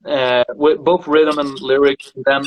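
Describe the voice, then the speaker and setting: Slow, halting man with a dry sound. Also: medium pitch, little pitch movement, dry recording, lo-fi sound, soft background music. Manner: thoughtful, halting, repeats words while searching. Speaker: singer and show host. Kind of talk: casual conversation about singing.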